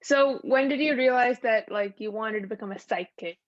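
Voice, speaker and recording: A woman's voice: a little high, normal speed, dry recording. A woman co-hosting an interview show, asking questions casually with lots of "like" fillers. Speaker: co-host. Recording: dull, dry lo-fi sound.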